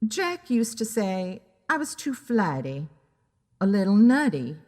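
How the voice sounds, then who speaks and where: A woman voicing a flighty, excitable, melodramatic female client in an audio story. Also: mid-range voice, takes it slowly, big-room sound, voice pushed up. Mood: wistful, flighty.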